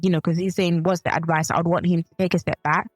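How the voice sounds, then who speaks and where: Zambian-born woman on a podcast, mid pitch, talks it through slowly, restarts a lot, dry sound. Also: level tone, husky, no room sound, soft background music. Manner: thoughtful, self-correcting, with frequent restarts. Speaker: podcast co-host. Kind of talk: casual chat.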